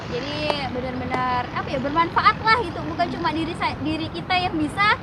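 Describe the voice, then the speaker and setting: High-voiced young woman, distant and noisy. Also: fairly quick, a loud crowd. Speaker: interview guest, event organizer. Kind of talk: casual chat. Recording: distant mic, loud noisy crowded surroundings.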